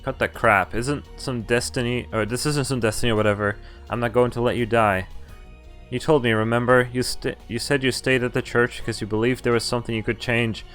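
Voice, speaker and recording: A man's voice: mid-range voice, fairly even intonation, brisk, a bit bright, lightly acted. A man voicing a desperate, protective young male hero from a visual novel, urgent, insistent and pleading. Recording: music behind the voice.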